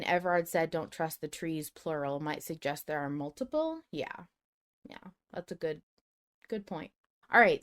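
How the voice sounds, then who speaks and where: A woman hosting a casual live discussion podcast, chatty and thinking aloud as she interrupts herself. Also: on the low side. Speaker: podcast host. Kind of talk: casual live discussion reading listener comments.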